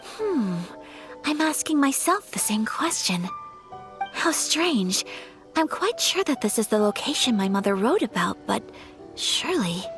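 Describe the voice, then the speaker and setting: A woman's voice: on the high side, very animated, unhurried, music in the background. A woman voicing a graceful, polite young noblewoman in a game, speaking formally and courteously. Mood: gentle.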